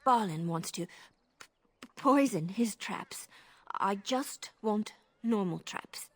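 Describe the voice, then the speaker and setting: A woman playing a worried, hesitant village woman in a video game, speaking haltingly. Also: medium pitch, animated, no room sound. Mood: anxious.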